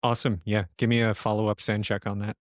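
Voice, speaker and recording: A man's voice: fairly low pitch, level tone, fast talker, no echo. A man acts as game master in a casual tabletop session. He talks informally and teases, swearing now and then. The sound is close and dry, with no room on it.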